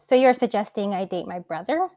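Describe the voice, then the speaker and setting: A woman's voice: medium pitch, expressive, a little fast, a little mellow, dull audio, slight background noise, lightly acted. A young woman reading a sarcastic, exasperated twenty-year-old daughter over a video call.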